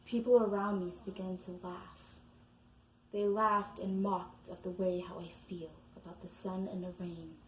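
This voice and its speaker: A woman performing a tormented, despairing soul in a poetic monologue, hushed, slow and brooding. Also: lowish voice, flat delivery, echoey room, a little hiss or hum, lightly acted, poor audio. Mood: brooding anguish.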